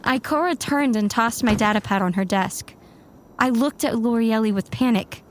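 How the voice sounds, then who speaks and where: A woman's voice: on the high side, normal speed, a little hiss or hum, natural voice. A woman acting a young, self-assured warlock student who narrates and speaks in a fan audio drama. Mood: narrating.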